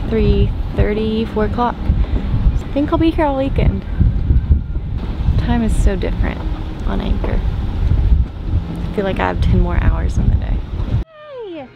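A woman's voice: mid-range voice, dull audio. A young woman in her twenties talking casually to a handheld camera on a sailboat deck, thinking aloud with fillers. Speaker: sailing vlogger. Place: outdoors on a sailboat deck at anchor. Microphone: camera held at arm's length. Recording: noisy outdoor wind and water.

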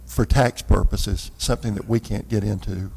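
A man's voice: fairly low pitch, fairly even intonation, moderate pace, gravelly, darkish tone, husky. A man answering questions as a city official in a formal council meeting, in a chamber. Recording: reverberant meeting hall.

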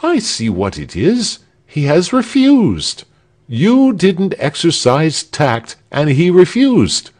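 A man's voice: a little high, wildly swooping pitch, slow, darkish tone, voice pushed up, full character voice. A man voicing a pompous, fussy male villager who lectures everyone on tact. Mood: smug. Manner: lecturing, self-important.

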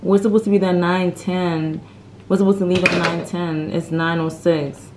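A young woman from Guinea-Bissau chatting playfully to her viewers on a travel vlog, her voice a bit hoarse. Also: lowish voice, flat delivery, normal speed, background noise. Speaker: travel vlogger. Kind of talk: casual vlog chat to camera. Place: hotel room and lobby at night. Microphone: handheld phone camera.

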